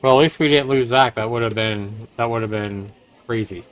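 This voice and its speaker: A man's voice: medium pitch, moderate pace. A man livestreaming a game, mixing commentary with dramatic reading aloud as host. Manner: profane, exclamatory reactions.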